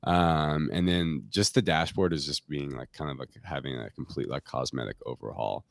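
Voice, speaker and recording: A man's voice: extremely low pitch, normal speed, creaky, no room sound. A man talking casually as a company guest in an interview, informal and peppering his explanations with hedging filler phrases. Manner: informal, hedging with frequent "like" and "kind of". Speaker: tech company representative, podcast guest. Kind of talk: casual product talk in an interview. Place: remote recording on a live stream call.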